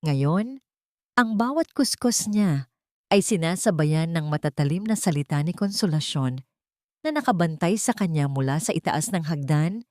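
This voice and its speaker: A woman narrates a scripted romantic drama in a slightly low voice. Her delivery is solemn and melodramatic, with carefully placed phrases. The recording is dry, free of room sound. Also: expressive, normal speed, mellow, no echo. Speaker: story narrator.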